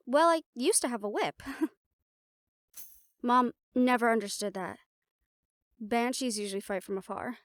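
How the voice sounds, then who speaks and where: A woman's voice: on the high side, a little slow, pauses often, dry recording, studio quality, natural voice. A woman playing a confident, playful siren-banshee warrior in a conversational audio roleplay, talking intimately to the listener. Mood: proud, amused.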